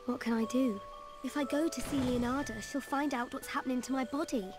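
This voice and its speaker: A troubled young heroine, acted by a woman with a fairly high British voice. Her gentle game dialogue plays over clear music. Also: steady tone, brisk, lightly acted. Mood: worried, sad. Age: young adult. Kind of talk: video game voice acting.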